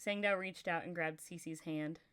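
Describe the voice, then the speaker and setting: A woman's voice: lowish voice, fairly even intonation, unhurried, fairly bright tone, clear, resonant tone. A young woman from Texas with a Texan accent, reading a story aloud on a livestream and chatting casually, laughing often.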